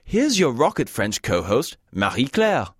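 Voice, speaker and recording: Lively British man hosting a studio lesson, joking, dry mic. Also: mid-range voice, unhurried, slightly rough, no echo. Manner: wry, joking teacher. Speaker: language course host. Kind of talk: scripted lesson narration.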